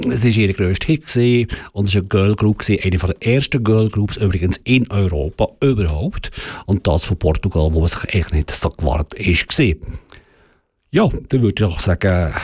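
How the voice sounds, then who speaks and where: A man's voice: fairly low pitch, a little mellow, no echo, studio quality. A man with a Swiss German accent hosting a dance music radio show, chatting loosely and correcting himself as he goes. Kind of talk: casual music radio presentation.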